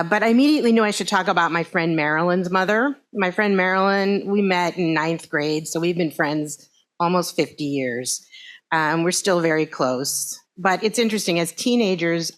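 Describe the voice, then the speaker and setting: A woman's voice: lowish voice, a bit bright. A woman in her sixties giving an informal personal talk to a congregation in a conversational, discursive way. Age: 60s. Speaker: congregation speaker.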